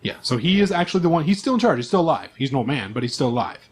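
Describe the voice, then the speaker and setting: A man's voice: fairly high pitch, expressive, very fast, breathy edge. A man speaking casually as a game master and podcast host, narrating a tabletop game.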